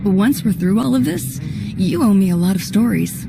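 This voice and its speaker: A woman's voice: mid-range voice, expressive, moderate pace, vocal fry. A woman playing a friendly young female Jedi companion in a game cutscene. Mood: warm, eager. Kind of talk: video game cutscene acting. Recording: music under the voice.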